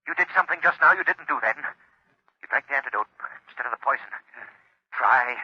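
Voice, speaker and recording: A man's voice: mid-range voice, animated, slightly rough, no echo. A man playing a commanding, unseen mystery hero through a filter in a 1930s radio drama. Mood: urgent, commanding. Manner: urgent, commanding, deliberate. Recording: muffled, telephone-like old radio sound.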